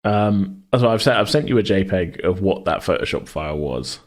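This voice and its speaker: A man co-hosting a podcast in a casual worldbuilding chat, rambling as he thinks out loud. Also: low-pitched, expressive, normal speed. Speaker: podcast co-host.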